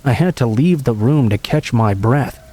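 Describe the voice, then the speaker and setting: A man calmly narrating true horror stories in an even, scripted read. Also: medium pitch, moderate pace.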